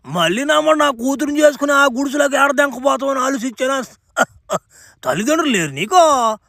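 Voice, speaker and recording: A man plays a bossy cartoon king with a very high, bright voice. He blusters crudely and slips into mock-formal speech, all in a dry, close sound. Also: very animated, fairly quick, creaky, dry recording, heavily acted. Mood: gruff, mocking. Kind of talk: comic Telugu cartoon dub.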